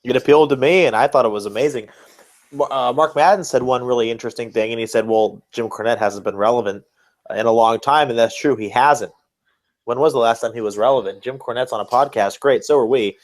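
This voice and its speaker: Blunt man with a medium-pitched voice. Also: brisk. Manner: blunt, dismissive. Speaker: podcast host. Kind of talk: casual opinion chat.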